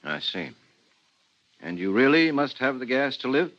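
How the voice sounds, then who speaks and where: Sardonic policeman, lively intonation, questioning, dry wit, 1950s radio drama, muffled recording. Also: medium pitch, a little slow. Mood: dry, probing. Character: wry, probing husband, a government policeman.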